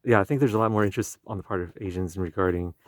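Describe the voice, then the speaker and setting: A young man with Chinese as his first language, hosting a casual conversation and talking in halting phrases with many restarts and fillers. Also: low voice, no echo, clean studio sound. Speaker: podcast host. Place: indoor room with a field recorder. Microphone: field recorder.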